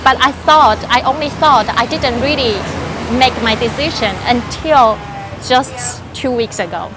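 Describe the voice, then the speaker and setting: A woman's voice: sits high, unhurried. Woman with a noisy background. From Taiwan. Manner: chatty, with frequent fillers and light laughs. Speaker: interview guest, life and business coach. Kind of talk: casual interview answers.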